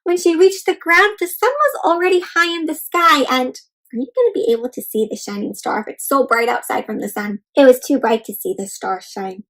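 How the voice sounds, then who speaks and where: Female children's read-aloud host: high register, lively swooping intonation, questions and exclamations aimed at young listeners. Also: a little fast, poor audio. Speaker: host reading a picture book aloud to children.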